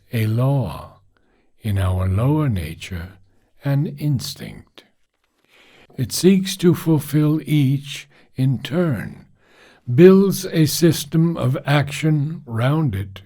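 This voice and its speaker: A man reading a philosophical text aloud in a deliberate, solemn manner, pausing between phrases. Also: medium pitch, dark tone. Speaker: reader of a spiritual philosophy book. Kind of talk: measured audiobook-style reading.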